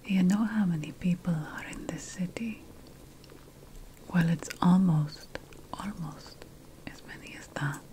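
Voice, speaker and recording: Slow whispering woman playing an ancient witch mentor. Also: fairly low pitch, warm tone, natural voice. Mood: fussy, instructive. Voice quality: breathy unvoiced whisper. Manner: slow, deliberate, wry, theatrical. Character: ancient Mesopotamian witch mentor, teasing, fussy about plant remedies. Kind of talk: whispered ASMR fantasy roleplay.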